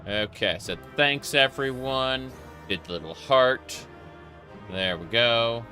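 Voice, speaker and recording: A man's voice: medium pitch, takes it slowly, bright, forceful tone. A man doing casual live gameplay commentary as a streamer, reacting off the cuff. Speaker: game streamer. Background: game music.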